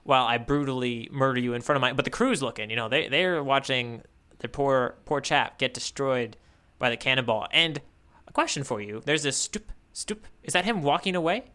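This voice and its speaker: A man of average pitch with a very bright, crisp-edged tone talks casually as a podcast co-host. He is wry, and he breaks off and restarts his sentences as he thinks aloud. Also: moderate pace. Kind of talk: casual chat.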